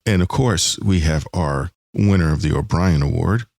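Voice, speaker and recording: Guy hosting a chatty fan podcast, really low voice, dry close sound. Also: normal speed, vocal fry, darkish tone, no echo. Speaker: podcast host. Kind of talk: casual banter on a sci-fi fan podcast.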